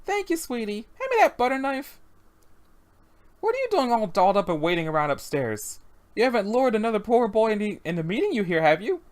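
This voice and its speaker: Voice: mid-range voice, big pitch swings, brisk, a little mellow, voice pushed up, lightly acted. A reader voicing a genteel lady of the house, arch, teasing and sweetly prying.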